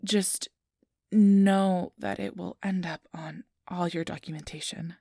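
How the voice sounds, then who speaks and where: Soft, dry-miked woman acting as a gentle clerk. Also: fairly low pitch, fairly even intonation, slow pace, creaky, no room sound, heavily acted. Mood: gentle, encouraging. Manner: gentle, reassuring, hesitant. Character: friendly, flustered female clerk registering new vampires. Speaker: interviewer. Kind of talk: soft-spoken ASMR roleplay.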